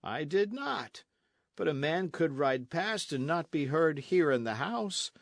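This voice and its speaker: Languid young gentleman voiced by a man, weary, mild, higher pitch, dry sound. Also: big pitch swings, unhurried, creaky, no echo, exaggerated voice.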